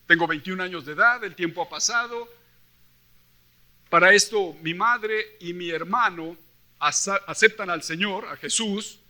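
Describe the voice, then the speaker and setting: A man with a Mexican accent preaching a sermon, reading scripture and telling personal stories in an animated, emphatic way, acting out dialogue and putting questions to his listeners. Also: high-pitched. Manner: animated storyteller, acts out quoted dialogue. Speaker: preacher at a church convention.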